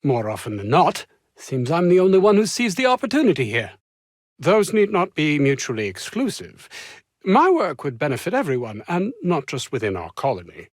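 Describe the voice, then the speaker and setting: Pompous, high-strung scientist, swooping pitch. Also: male voice, huge pitch swings, normal speed, no echo, studio quality. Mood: aggrieved, self-pitying. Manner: pompous, wordy, formal diction with flustered hesitations. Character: pompous, anxious, self-important male research scientist. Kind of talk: video game character dialogue.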